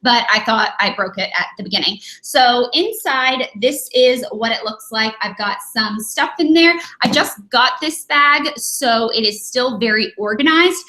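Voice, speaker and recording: A young woman talks casually on a live stream from her dining room, her voice bright and slightly high. She rambles with plenty of fillers and sounds a little sniffly from a cold. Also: measured pace, few pauses, low-quality recording. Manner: chatty, rambling, many fillers. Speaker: beauty vlogger.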